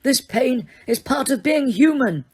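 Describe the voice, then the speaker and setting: A woman voicing a calm, quiet elderly male headmaster wizard in an audiobook, speaking in a raised, altered voice. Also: sits high, unhurried, husky, voice pushed up, exaggerated voice. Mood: serene. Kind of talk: audiobook character reading.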